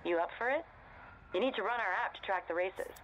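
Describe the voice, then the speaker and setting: An actress playing an upbeat female street racing show presenter in a video game, brisk and inviting. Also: mid-range voice, dull audio, noisy background, close to an everyday voice.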